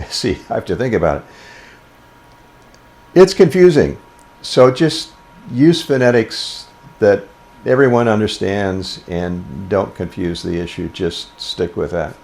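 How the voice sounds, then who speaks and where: An older man giving an informal instructional talk about a hobby, speaking plainly and with deliberate phrasing. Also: lowish voice, expressive, vocal fry, a little mellow. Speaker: amateur radio presenter.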